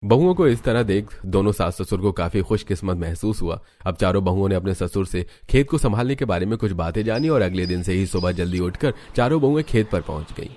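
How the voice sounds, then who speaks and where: A man's voice: low-pitched, animated, a little fast, hardly any pauses, warm tone, slight background noise. A man narrating a scripted cartoon story as the storyteller.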